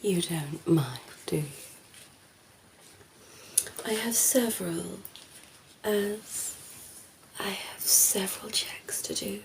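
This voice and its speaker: A woman voicing a ditzy, flirty female vampire in an ASMR roleplay, speaking softly and breathily, often in a whisper, with a coy, teasing manner. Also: medium pitch, vocal fry, slight background noise. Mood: chatty, whimsical. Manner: slow, teasing, coy, giggly.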